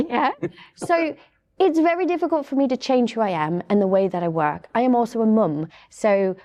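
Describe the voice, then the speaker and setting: Soft-spoken woman, measured. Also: mid-range voice. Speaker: panel guest. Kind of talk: casual panel discussion.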